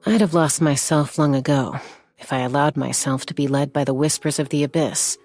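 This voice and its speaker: A woman voicing a confident young female gunslinger and peacemaker in a video game, with a cool, measured, self-assured delivery. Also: low voice, a little mellow, no room sound, soft background music. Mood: calm, wry. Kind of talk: scripted game character voice lines.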